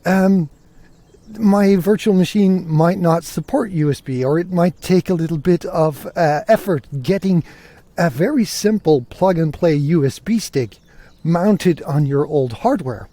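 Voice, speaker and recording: A man's voice: on the high side, unhurried, mellow. A man hosting a podcast, talking casually and conversationally, often posing questions to himself on a close microphone. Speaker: podcast host.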